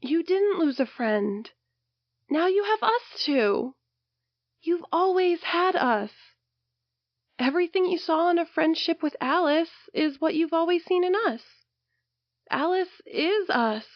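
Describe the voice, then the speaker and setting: A woman playing a caring, earnest young friend in an amateur audio drama, gently pleading and soothing. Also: extremely high pitch, animated, slow, no echo, heavily acted. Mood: reassuring. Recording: dull, dry lo-fi home recording.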